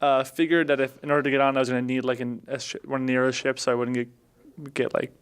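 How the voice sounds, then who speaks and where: A man casually recapping a game adventure as a podcast player, often halting as he searches his memory. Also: mid-range voice, level tone, clear, resonant tone.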